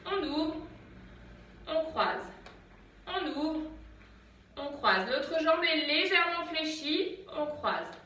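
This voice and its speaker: A young woman leading a home workout, calmly counting reps and cueing breaths as she exercises in an attic room, recorded on a phone set far back. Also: high voice, slow, long pauses, heavy reverb. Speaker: fitness instructor. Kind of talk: guided home workout instruction. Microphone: phone camera from a distance.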